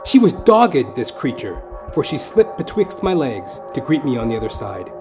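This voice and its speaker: A man's voice: mid-range voice, very animated, normal speed. A man delivering scripted comic narration for an audio play, with theatrical, mock-literary phrasing. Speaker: comedian and storyteller. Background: music.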